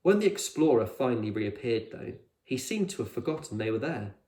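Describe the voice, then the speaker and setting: A man's voice: medium pitch, sing-song, brisk, dark tone. A man reading a storybook aloud as a teacher would, in scripted narration for children, in an echoey room.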